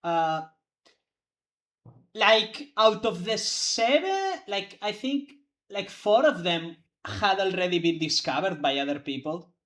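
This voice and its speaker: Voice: mid-range voice, lively intonation, slow, fairly bright tone. A young adult law student chatting casually, rambling and hedging with lots of fillers. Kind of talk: casual chat among players.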